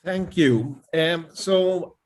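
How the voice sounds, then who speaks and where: An older man with a Swedish accent giving an informal talk over a video call, pausing often with fillers. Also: a little high, a little mellow. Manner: hesitant, many fillers. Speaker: conference presenter. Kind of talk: informal presentation.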